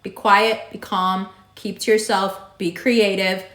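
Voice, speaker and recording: Raspy-voiced woman giving a horoscope. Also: medium pitch, flat delivery, slow pace, a bit bright. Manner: direct, emphatic, repeats advice. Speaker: astrology reader. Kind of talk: monthly horoscope reading. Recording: echoey room.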